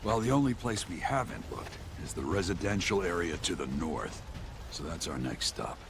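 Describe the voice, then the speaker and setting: A gruff, very deep mercenary. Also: male voice, expressive, moderate pace, noisy background. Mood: dry, practical. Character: dry, practical, gruff male mercenary. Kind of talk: video game cutscene acting. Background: music.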